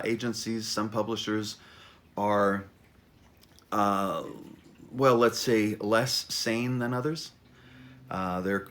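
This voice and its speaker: A man's voice: fairly low pitch, fairly bright tone. A middle-aged man, an author, telling his story informally in a wry, self-deprecating, stop-and-start way. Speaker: author introducing his memoir. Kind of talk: informal spoken monologue, storytelling.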